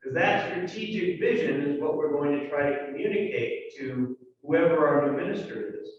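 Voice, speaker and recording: A man speaks to a congregation in a loose, conversational way, dropping fillers and little asides as he goes. His voice sits a little high for a man. It reaches the mic from far off across a large echoing hall, with a dull, muffled edge. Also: normal speed, a crowd in the background. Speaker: church leader giving announcements. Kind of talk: congregational announcements. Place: large church sanctuary.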